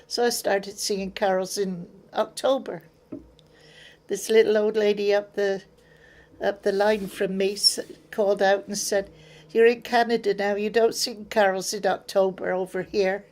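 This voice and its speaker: A woman's voice: mid-range voice, flat delivery, measured pace, a little mellow. An older woman from England with an English accent, recounting her memories in an interview in a plain-spoken way. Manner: plain-spoken storyteller, acts out remembered exchanges.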